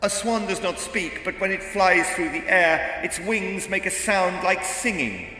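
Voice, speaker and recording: A man's voice: high voice, lively intonation, measured pace, sharp tone, voice pushed up, exaggerated voice. A man voicing a bold, confident warrior hero in a storybook, answering in an assured, declamatory way. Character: bold young male warrior hero, confident riddle-solver. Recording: strong echoing reverb, faint music.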